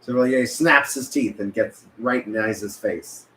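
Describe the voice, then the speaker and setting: A man's voice: mid-range voice, measured pace, a bit bright. A man reading stage directions at a script table read, occasionally stumbling and correcting himself. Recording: echoey room with background noise.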